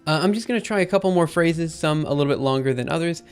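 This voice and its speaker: A man with a slightly high, slightly raspy voice talks his way through his code on a livestream, chatty and upbeat as he goes. Keyboard clicks and faint music play underneath. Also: fast talker. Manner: thinks aloud while typing, chatty and upbeat. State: slightly raspy after a drink. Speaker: programming livestreamer. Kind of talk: live coding stream, casual talk-through.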